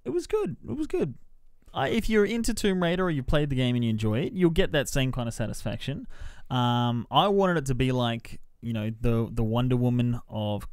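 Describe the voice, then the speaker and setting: A man giving casual, off-the-cuff film opinions on a podcast in an informal, measured way. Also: mid-range voice, lively intonation, darkish tone, clear, resonant tone, no room sound, studio quality. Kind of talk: casual, off-the-cuff movie review chat.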